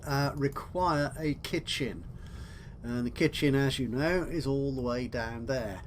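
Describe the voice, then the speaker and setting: A man's voice: mid-range voice, unhurried. Man chatting over a game, some noise. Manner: chatty, thinking aloud, talking to himself. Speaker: gaming video host. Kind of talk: casual let's play commentary.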